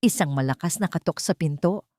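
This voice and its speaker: A woman's voice: low-pitched, brisk, warm tone, no room sound. A woman narrates a scripted drama in the manner of an audiobook. The sound is dry, with very little room in it.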